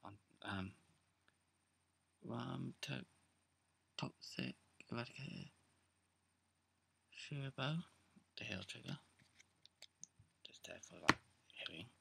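A young man with a sore, croaky throat, talking quietly in a half-whisper at home while he goes through his card game deck for a casual video. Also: fairly low pitch, lively intonation, slow, pauses often, noisy background. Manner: hushed, half-whispering late at night. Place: indoors, seated on a bed or floor at home. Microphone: camera close in front, pointed at his hands.

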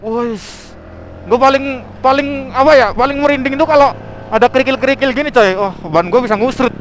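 A man chatting casually and excitably in slangy style as he vlogs while riding outdoors. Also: extremely high pitch, moderate pace, a little hiss or hum. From Indonesia. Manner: excitable, exclamatory, interjection-filled. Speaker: motovlogger. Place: outdoors, riding a motorbike on a forest mountain road.

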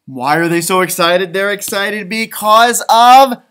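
An American man in his thirties with a playful, expressive, theatrical manner, reading and chatting for young children. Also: high-pitched, a little slow, clear, resonant tone. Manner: playful, expressive, theatrical, with sudden loud and hushed turns. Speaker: elementary school storybook reader, teacher. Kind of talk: animated children's read-aloud with chatty asides. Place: room with bookshelves, indoors.

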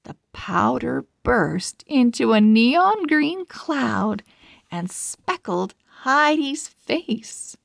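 A woman narrates a children's book at a very slow, careful pace. Her fairly high voice moves up and down expressively and has a creaky edge. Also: no echo, studio quality. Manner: expressive, deliberate word-by-word delivery. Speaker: storybook reader. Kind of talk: children's read-aloud narration.

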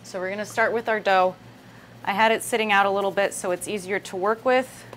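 A woman in her thirties talks through a recipe step by step at a medium pitch. She sounds conversational and casual, like the host of a live cooking class. Clear room noise and kitchen ambience sit behind her voice. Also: steady tone, a little fast, a bit bright. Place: indoor kitchen set with wooden worktop.

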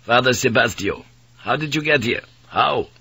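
A man voicing a hostile, hot-tempered priest in his twenties from Portugal, impatient and sharp as he interrogates, in an audiobook. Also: medium pitch, expressive, normal speed, gravelly, breathy edge, no echo, heavily acted. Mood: cold, impatient.

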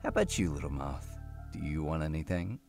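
Woman voicing a very deep, menacing male kidnapper. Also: animated, creaky, darkish tone, faint music behind, heavily acted. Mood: mocking. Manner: slow, taunting threats. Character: menacing, condescending male kidnapper, cold and amused.

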